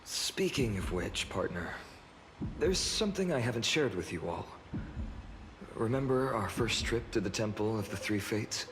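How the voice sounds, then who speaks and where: An actor playing a noble, earnest warrior lord in a video game, firm and dramatic in delivery. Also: male voice, lowish voice, animated, a little slow, fairly bright tone, slight background noise. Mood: confiding. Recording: music behind the voice.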